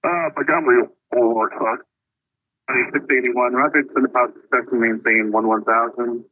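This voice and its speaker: Sharp male voice over aviation radio. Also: mid-range voice, fairly quick, no room sound. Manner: clipped procedural phrasing. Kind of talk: air traffic radio communication. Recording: dull, band-limited aviation radio.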